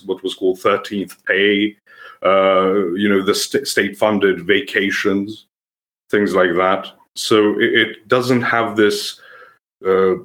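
Deep-voiced young man with a Serbian accent. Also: a bit bright. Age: young adult. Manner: thoughtful, halting, frequent restarts. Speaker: podcast guest. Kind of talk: conversational podcast interview.

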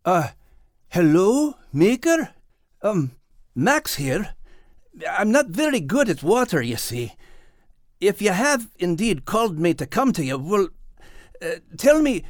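A man's voice: high voice, sing-song, slow pace, long pauses, no room sound, clean studio sound, pitched above the performer's own voice, exaggerated voice. A man voicing a small, feisty, anxious Scottish dog in a storybook, exclaiming and fretting to himself. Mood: hesitant, pleading. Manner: exclamatory, strained, talks to himself.

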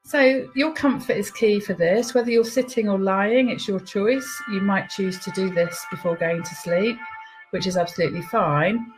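A woman leading a guided relaxation meditation, giving soothing, unhurried instructions with pauses. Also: mid-range voice, breathy edge, poor audio. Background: music behind the voice.

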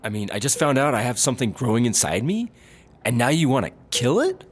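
A man's voice: mid-range voice, very animated, a little fast, a little hiss or hum, natural voice. An actor playing a goofy, self-dramatizing man in a comedy audio drama, whiny and earnest about his imagined pregnancy.

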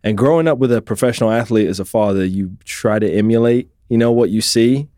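British guy in his 30s, low mellow voice, dry close sound, thoughtful storytelling. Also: a little fast, no room sound. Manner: reflective, open storytelling. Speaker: guest. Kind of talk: conversational interview.

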